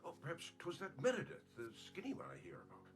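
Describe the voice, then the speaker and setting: Nosy priest in confession, very up and down voice, gossipy, bit of music. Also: male voice, fairly high pitch, wildly swooping pitch, quick pace. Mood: prying. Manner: prying, insinuating. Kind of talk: film comedy-drama dialogue.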